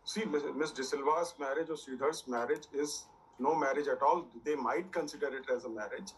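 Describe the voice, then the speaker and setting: A man speaking deliberately and formally as an advocate on a televised debate. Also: mid-range voice, breathy edge. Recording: distant mic with faint background noise.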